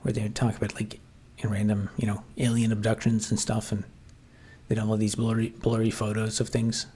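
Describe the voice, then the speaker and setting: Husky-voiced man musing on a livestream. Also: lowish voice, monotone, a little fast, mellow. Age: adult, grew up watching 1990s TV. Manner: hesitant, many fillers and restarts, musing. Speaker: livestream host reading chat. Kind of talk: casual rambling livestream chat.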